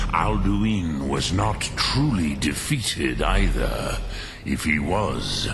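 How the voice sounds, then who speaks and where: Ancient, gravelly, very slow dragon sage. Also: male voice, very deep voice, noisy background, full character voice. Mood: musing, grave. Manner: slow, ponderous, deliberate, pausing to muse. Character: ancient, patient, wise old male dragon sage. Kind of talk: video game character dialogue. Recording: music under the voice. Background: game music.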